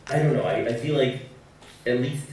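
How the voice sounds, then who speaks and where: A man's voice: mid-range voice, normal speed, a little mellow. A man asking a teacher questions as a student, careful and hesitant, with roundabout phrasing, distant in an echoey space. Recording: echoey, distant, faintly noisy microphone.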